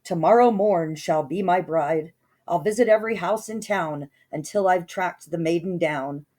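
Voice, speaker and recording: A woman's voice: mid-range voice, lively intonation, unhurried, darkish tone. A woman doing a pompous, brutal prince. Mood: solemn. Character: brutal, vain young prince.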